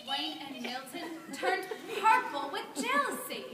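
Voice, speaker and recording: A woman's voice: extremely high pitch, big pitch swings, measured pace, over a noisy crowd. A woman narrating a scripted storybook tale in amateur reader's theater. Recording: echoey room, distant microphone, background noise.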